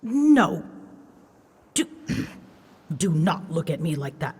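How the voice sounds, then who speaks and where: A woman voicing an ancient, huge female dragon in a scripted roleplay drama, haughty and easily flustered, scolding her rider in formal, measured speech with hidden fondness. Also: deep voice, very animated, darkish tone, heavily acted. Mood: flustered, defensive. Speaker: voice actor. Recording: long reverb, clear background noise.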